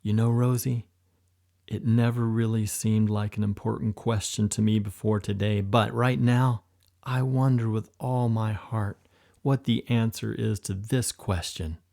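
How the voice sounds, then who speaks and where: A man voicing an emotional special agent in an audiobook, tender and frightened by turns, talking higher than his narration. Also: fairly even intonation, measured pace, creaky, warm tone. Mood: fearful.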